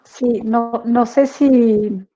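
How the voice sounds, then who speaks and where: A woman teacher answering a question on an online panel, thoughtful and pausing often with fillers. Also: on the high side, steady tone, warm tone, muffled recording. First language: not French. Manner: thoughtful, many hesitations and fillers.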